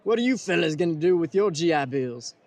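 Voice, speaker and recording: Male actor as naive, earnest pal in a radio comedy sketch, innocent straight-man delivery, fairly high voice. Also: animated, brisk, darkish tone, no echo. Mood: earnest, curious. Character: earnest, naive male friend of war veterans. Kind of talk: old-time radio sketch comedy acting.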